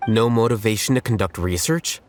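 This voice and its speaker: This is a composed, analytical video game scholar voiced by a man. The voice is on the low side and delivered tersely. He is blunt and cool, with light music in the background. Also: brisk, close to an everyday voice. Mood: probing, cool. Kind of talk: English video game voice acting.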